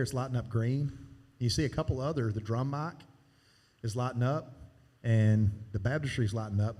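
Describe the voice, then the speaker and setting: A man's voice: medium pitch, mellow. A man giving casual, hands-on training on a church sound board, talking while he demonstrates. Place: church sanctuary. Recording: long hall reverb from live church sound.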